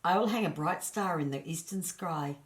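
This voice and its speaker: A patient male creator spirit from a storybook , voiced by a woman storyteller. He speaks with calm assurance and reassures whoever questions him. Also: on the low side, moderate pace, close to an everyday voice. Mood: reassuring.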